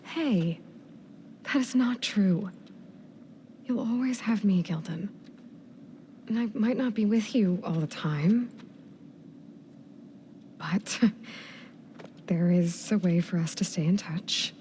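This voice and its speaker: Woman playing a mellow-voiced, earnest young game heroine. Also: medium pitch, slow pace, long pauses, a little hiss or hum, natural voice. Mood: reassuring. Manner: earnest, direct, reassuring. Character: frank, loyal, caring young heroine adventurer. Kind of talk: video game cutscene acting.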